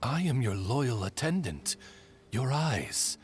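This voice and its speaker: Slow-spoken, courtly raven attendant. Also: male voice, mid-range voice, sing-song, faint music behind. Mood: apologetic, devoted. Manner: formal, courtly, measured. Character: dignified, devoted male raven attendant, courteous and apologetic. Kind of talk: video game voice acting.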